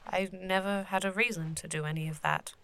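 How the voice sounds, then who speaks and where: A woman playing a solemn otherworldly guardian of souls in a fantasy audio drama, with formal, measured wording. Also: lowish voice, fairly even intonation, vocal fry, a bit bright, clean tone, dry recording. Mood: hushed, commanding.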